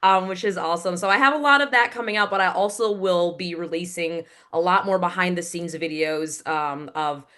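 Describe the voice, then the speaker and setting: Woman with a powerful, crisp voice. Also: on the low side, a bit bright. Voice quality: powerful, clear voice. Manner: speaks fast and crisply enunciated. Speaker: voiceover actress and award show announcer. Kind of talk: guest in a casual interview. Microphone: video call.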